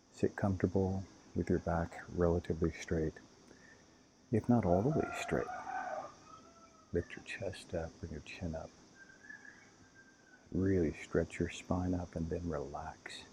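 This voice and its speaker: A man leading a guided meditation as a teacher, calm and unhurried, giving gentle instructions. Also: low voice, noisy background.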